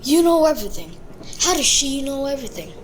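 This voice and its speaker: A woman voicing a blunt, excitable teenage wizard boy in an audio drama. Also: high voice, a little fast, slight background noise, poor audio.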